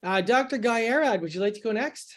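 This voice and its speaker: A man's voice: very high voice, lively intonation, brisk. A man hosting a round-table discussion who thanks each guest and politely invites the next one to speak. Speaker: host.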